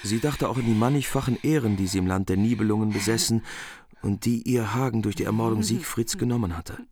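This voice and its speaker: A man narrating a medieval epic in a measured, grave manner. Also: lowish voice, a little mellow.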